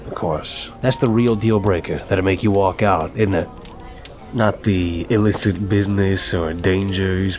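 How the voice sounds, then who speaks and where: A man's voice: fairly low pitch, close to an everyday voice. A man playing an apologetic, flirtatious mafia boss who talks to a date in a teasing, earnest, affectionate way, in an ASMR roleplay.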